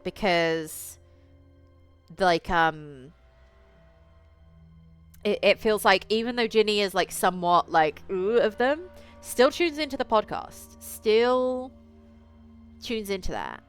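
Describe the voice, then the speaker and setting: A woman streamer reading a visual novel aloud, with excitable, slangy asides between stretches of narration. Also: medium pitch, takes it slowly, long pauses, clear, resonant tone. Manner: excitable, slangy, interjecting commentary. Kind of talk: reading game text aloud with casual asides. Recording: music behind the voice. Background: music.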